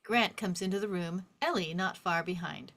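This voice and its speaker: A woman's voice: on the low side, moderate pace, fairly bright tone. A woman reading stage directions as a scripted narrator, in a vivid descriptive storytelling manner.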